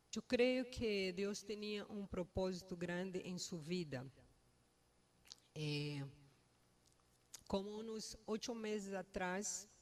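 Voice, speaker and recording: A woman with a Brazilian Portuguese accent, a native Portuguese speaker, giving a halting, unscripted personal speech at a funeral, searching for words. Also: lowish voice, darkish tone. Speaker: mourner giving a family eulogy.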